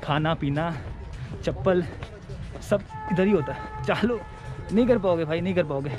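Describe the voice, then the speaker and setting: A man's voice: on the high side, a little slow, music in the background. A young man vlogging his train trip to camera, chatty and mixing Hindi with English phrases, filmed on a handheld camera at arm's length on a railway platform and in a coach. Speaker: travel vlogger. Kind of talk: casual vlog narration to camera. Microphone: handheld action camera at arm's length. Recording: noisy station ambience.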